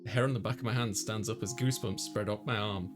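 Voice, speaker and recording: A man's voice: fairly low pitch, steady tone, fast talker. A man reading a visual novel's narration and dialogue aloud in a dramatized way as he plays. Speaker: let's player voicing a visual novel. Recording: music playing behind the voice. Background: clear background music.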